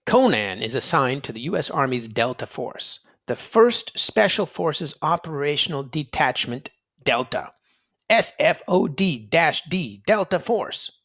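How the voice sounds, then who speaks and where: A man narrates a factual picture book for children. His voice sits a little high and moves with very varied intonation. He stresses key words and explains things directly to young listeners. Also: expressive, a little slow, fairly bright tone. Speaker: children's read-aloud narrator. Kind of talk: read-aloud storybook narration for children.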